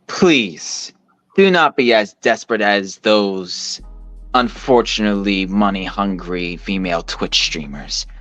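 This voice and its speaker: A man's voice: on the low side, expressive, slow, fairly bright tone, dry recording, soft background music. A man delivering a monologue to an audience in wordy, formal, pointed phrasing. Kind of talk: monologue addressed to camera and audience.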